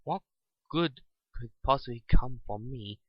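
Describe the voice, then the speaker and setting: A man voicing a small, timid lackey nephew in a dramatic story reading, speaking in a hesitant, halting way. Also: medium pitch, breathy edge, no echo, dull audio. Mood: frustrated.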